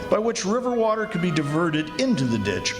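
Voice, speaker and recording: Guy playing nervous commissioner in old 1940s radio play, highish voice that swings around, music behind. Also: big pitch swings, fairly quick. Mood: grave, foreboding. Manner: hesitant, dramatic delivery. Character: anxious male commissioner recounting his dread in hindsight. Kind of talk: 1940s radio drama acting and narration.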